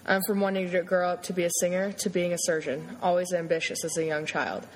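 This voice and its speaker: A young woman reading her own poem aloud in a steady, earnest way. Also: fairly low pitch, brisk, a little hiss or hum, rough recording. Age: young adult.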